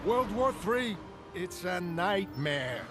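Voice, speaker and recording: An actor playing an agitated, opinionated city news vendor, ranting in a streetwise way in a comic-book drama. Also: male voice, sits high, very animated, takes it slowly, background noise, exaggerated voice. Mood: worried. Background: music.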